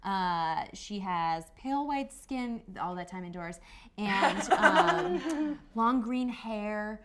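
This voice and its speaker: A woman's voice: mid-range voice, animated, slow pace, a bit bright. A woman player casually describing her character at a tabletop roleplaying game.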